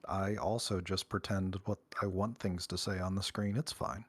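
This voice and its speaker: A man in his thirties making deadpan, self-mocking jokes on a game stream. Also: deep voice, normal speed, no room sound. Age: 30s. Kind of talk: casual chat over a game stream.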